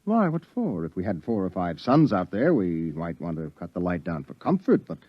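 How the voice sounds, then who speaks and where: A man's voice: low-pitched, wildly swooping pitch, moderate pace, dry recording, exaggerated voice. An actor playing a young male reporter in a 1950s radio drama, asking skeptical questions. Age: young adult. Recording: dull old radio recording.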